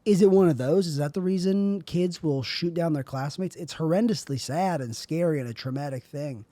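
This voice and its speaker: A young man with a raspy, airy voice, rambling through a solo podcast monologue as host. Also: a little high, moderate pace, dark tone, no room sound. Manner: rambling, self-questioning, trailing off.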